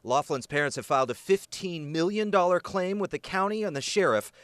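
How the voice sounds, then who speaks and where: An American man reporting investigative news in a scripted, emphatic and measured broadcast delivery. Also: high-pitched, expressive, a bit bright, dry recording.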